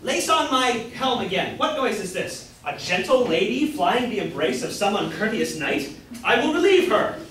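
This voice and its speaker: A man's voice: high voice, big pitch swings, normal speed, a bit bright. A man playing a pompous, mock-heroic knight errant, declaiming in archaic English in an amateur staged comedy reading. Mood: grandly heroic. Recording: very echoey room, distant mic, faint noise.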